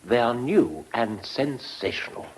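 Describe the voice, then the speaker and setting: Pompous scheming official, British accent, husky, animated intonation, hesitant savouring of words, radio drama. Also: male voice, mid-range voice, unhurried. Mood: pompous relish. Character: pompous scheming male official relishing his own words.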